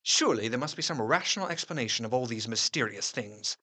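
An actor playing a troubled, sceptical gentleman doctor, narrating his diary in intense, formal literary diction for a gothic drama. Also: medium pitch, lively intonation, quick pace, husky. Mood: doubting, reflective. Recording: music under the voice. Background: music.

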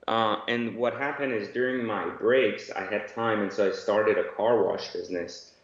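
A man's voice: on the low side, moderate pace. An Iran-born man guesting on an interview podcast, giving long rambling answers full of fillers and restarts. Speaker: guest entrepreneur. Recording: echoey, dull remote audio.

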